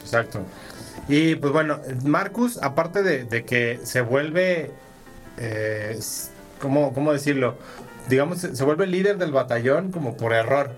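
A man's voice: medium pitch, expressive, measured pace. A man with a Mexican accent co-hosting a casual gaming podcast, joking and self-mocking with crude slang, music playing behind him. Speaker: podcast co-host. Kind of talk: casual chat about video games.

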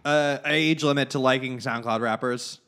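A man's voice: mid-range voice, steady tone, normal speed, a bit bright, clean tone. A man co-hosting a podcast in laid-back, wry casual chat, turning ideas over as he talks. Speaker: podcast co-host.

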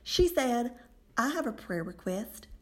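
A woman's voice: on the high side, very animated, a little slow. A woman playing a gossipy, self-righteous and easily exasperated church lady in a comedic radio play, with a folksy, indignant, dramatic delivery.

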